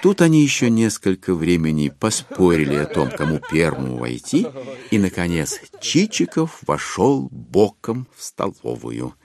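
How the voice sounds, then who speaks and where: An actor as the narrator of an audio drama, telling the story in a wry, deliberate literary manner. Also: male voice, low voice, wildly swooping pitch, creaky, a crowd in the background, exaggerated voice. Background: music.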